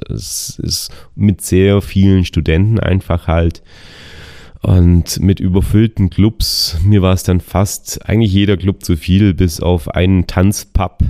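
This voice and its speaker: A young man with a low, gravelly voice tells his travel diary at a loose, rambling pace, full of fillers and restarts. His tone is dark and mellow, and the recording is close and dry. He sounds like someone just getting over the flu. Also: no echo. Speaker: travel vlogger on a bicycle tour. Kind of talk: casual spoken travel diary.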